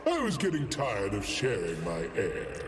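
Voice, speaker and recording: A man voicing a vain, condescending ancient dragon in a video game, with a grandiose, deliberate, theatrical delivery. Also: on the low side, huge pitch swings. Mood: dismissive. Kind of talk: video game character voice lines. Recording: long cavernous reverb, music underneath.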